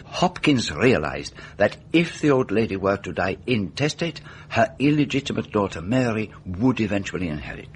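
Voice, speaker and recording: A low-pitched, self-assured detective, lays out a case with deliberate, methodical care. His delivery swings expressively, full of rhetorical questions, in a radio mystery drama with slight background noise. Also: sing-song. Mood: grave, assured. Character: meticulous, self-assured male detective explaining a murder case.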